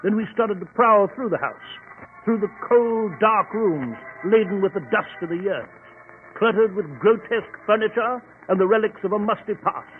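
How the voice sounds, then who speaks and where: An actor playing a flustered, blustery English gentleman in a 1940s radio drama, spluttering and bemused. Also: high-pitched, moderate pace, a little hiss or hum, lightly acted. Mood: genial, storytelling. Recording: dull, lo-fi old radio broadcast.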